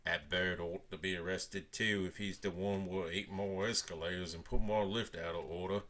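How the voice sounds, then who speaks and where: A man's voice: sits low, steady tone, normal speed, a bit bright. A man voicing a grumbling, suspicious uncle in a storybook, colloquial and accusing.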